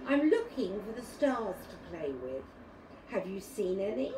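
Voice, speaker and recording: A woman's voice: medium pitch, very animated, measured pace. A woman voicing an earnest, wistful small boy in a children's storybook, polite and pleading. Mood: polite asking. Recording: roomy, distant mic, clearly noisy.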